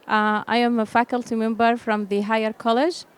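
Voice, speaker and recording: A woman's voice: fairly high pitch, little pitch movement, normal speed, clear, resonant tone. A woman, a nurse and college faculty member, speaks up from the audience hesitantly, with many fillers. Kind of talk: audience question.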